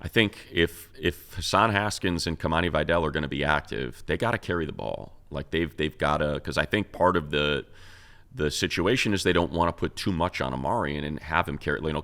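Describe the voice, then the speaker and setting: Very deep-voiced man talking football. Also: vocal fry, a bit bright. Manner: conversational, thinking aloud, repeats himself. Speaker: sports talk show co-host. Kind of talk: casual football analysis.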